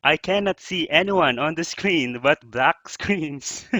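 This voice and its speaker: A man's voice: on the high side, animated, takes it slowly, bright, forceful tone, no echo. A man making announcements as contest manager at an online conference over a video call.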